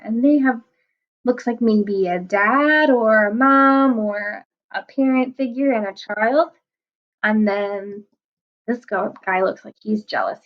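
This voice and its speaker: A woman teacher reading a picture book aloud to children, gently explaining words and asking them questions. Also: mid-range voice, lo-fi sound.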